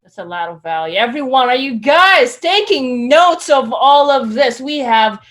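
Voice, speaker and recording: A woman hosting a live-streamed interview who praises her guest warmly and speaks eagerly to her viewers. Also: high voice, expressive, unhurried. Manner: enthusiastic, effusive. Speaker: interview host.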